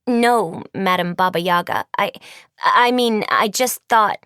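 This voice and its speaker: A woman's voice: medium pitch, unhurried, a bit bright, clean tone, no echo, clean studio sound, natural voice. A woman voicing an earnest, polite teenage schoolgirl in a storybook, speaking hesitantly. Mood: flustered.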